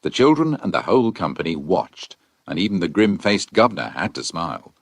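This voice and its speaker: Deep-voiced man narrating a children's story. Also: very animated, fairly quick, creaky, no room sound. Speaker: audiobook narrator.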